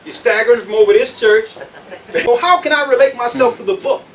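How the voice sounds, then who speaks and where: A man preaching to a group in an animated, colloquial way, emphatic and full of rhetorical questions. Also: very high-pitched, a little fast, recorded from a distance. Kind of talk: animated sermon with questions to the audience. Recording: noisy room, picked up from afar.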